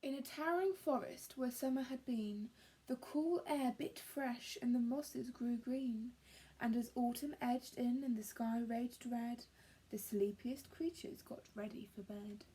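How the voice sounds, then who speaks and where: Female storyteller for young children: very high voice, unhurried, breathy, dramatic rhyming narration. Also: dark tone, poor audio. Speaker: storyteller reading a picture book to young children.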